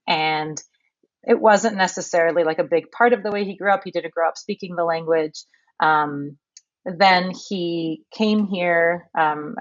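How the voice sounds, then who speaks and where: Low-voiced woman, dry muffled sound. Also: no echo. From Canada. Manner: thoughtful, many fillers and restarts. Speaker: podcast narrator and guest. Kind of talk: conversational interview answer.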